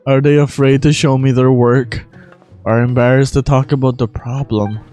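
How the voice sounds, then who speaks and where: A man puts on a gentle little goddess from a game, pitched comically low. The delivery is polite and mild, with music faintly underneath. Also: flat delivery, moderate pace, lightly acted. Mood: puzzled, concerned.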